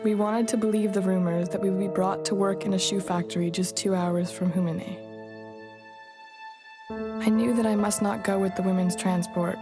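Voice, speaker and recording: A woman's voice: mid-range voice, steady tone, moderate pace, over music. A woman reading a memoir as scripted first-person narration.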